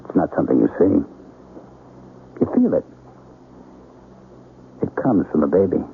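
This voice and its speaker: An actor playing an eerily confident young father, calm and deliberate, in old-time radio drama style. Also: low-pitched, creaky, heavily acted. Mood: rapt conviction. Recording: vintage radio broadcast, narrow phone-like sound, faint noise.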